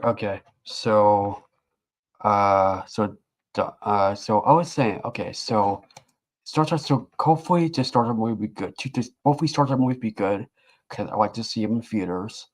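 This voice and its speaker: Halting low-voiced man sharing news. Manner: halting, repeats himself, full of fillers. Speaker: co-host. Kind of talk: casual chat.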